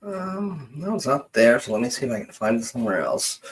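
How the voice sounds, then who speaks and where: A man hosting a casual genealogy stream, talking in a halting way as he thinks aloud during a screen-share. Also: mid-range voice, very animated, slight background noise.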